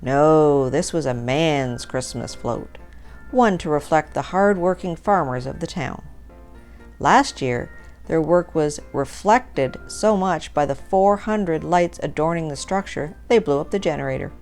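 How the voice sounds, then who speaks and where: A woman with a low voice narrates a scripted, lighthearted story. She reads aloud as a storyteller, with music playing behind her. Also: lively intonation, measured pace, a little mellow.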